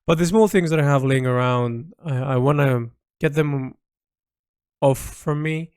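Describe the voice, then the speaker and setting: A man's voice: mid-range voice, unhurried, darkish tone, clean tone, no room sound, studio quality. A man recording an informal, reflective audio diary, speaking hesitantly as he thinks aloud and lets phrases trail. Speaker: artist recording a personal audio log.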